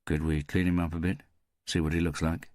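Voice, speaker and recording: A man plays a stubborn militia detective in a crime audiobook. He is gruff and curt, with a very deep voice, recorded dry. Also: level tone, fairly quick, a little mellow, dry recording, natural voice.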